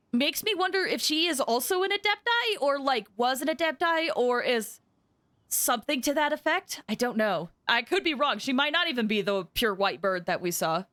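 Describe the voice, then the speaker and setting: A woman casually commentating on a live game stream, chatty and excitable, close on the microphone. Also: fairly high pitch, no room sound. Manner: chatty, excitable, swoops into squeals.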